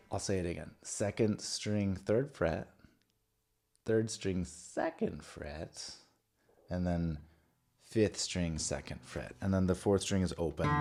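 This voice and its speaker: Low-voiced, unhurried man teaching guitar. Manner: informal, halting, self-correcting, thinking aloud. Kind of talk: casual instructional guitar lesson with counting. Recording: guitar playing loudly alongside the voice. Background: acoustic or electric guitar being played.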